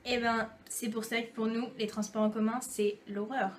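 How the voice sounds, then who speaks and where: A woman's voice: a little high, level tone, normal speed, a little hiss or hum. A young French woman talking to camera in a chatty, playful vlog, acting out little dialogues as she goes. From France. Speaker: YouTube vlogger. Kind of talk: casual comic monologue to camera.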